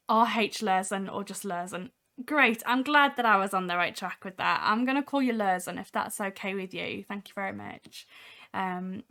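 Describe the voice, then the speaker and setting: A young woman hosting a casual software demo livestream, talking from home with a voice made husky by a cold, chatty with many ums. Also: medium pitch. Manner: chatty, many ums, reads chat aloud. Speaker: livestream host.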